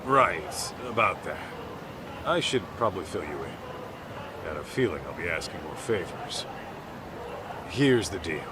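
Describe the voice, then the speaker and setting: An actor playing a brash middle-aged detective in a video game dub, with an American accent and blunt, slangy delivery. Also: male voice, low voice, lively intonation, unhurried, pauses often, noisy background. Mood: brisk, easygoing. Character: brash, street-tough middle-aged male detective, gruffly fatherly. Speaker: private detective.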